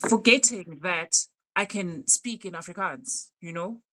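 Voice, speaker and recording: A woman in her thirties with a South African accent, co-hosting a casual podcast chat and telling stories with animated emphasis. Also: on the low side, measured pace, low-quality recording. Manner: animated storytelling with isiZulu words mixed in. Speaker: podcast co-host.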